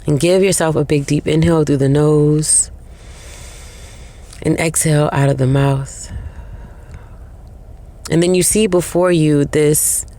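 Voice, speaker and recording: A woman leading a guided meditation in a slow, soothing way, with long pauses between short phrases. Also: deep voice, steady tone, mellow.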